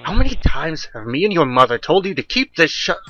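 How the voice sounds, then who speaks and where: A man's voice: mid-range voice, moderate pace, pushed and bright. Irritable dad in his forties, acted by the male reader, dismissive, a little dull-sounding, music behind. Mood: irritated. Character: irritable, dismissive forty-six-year-old father. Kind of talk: audiobook horror story character voice.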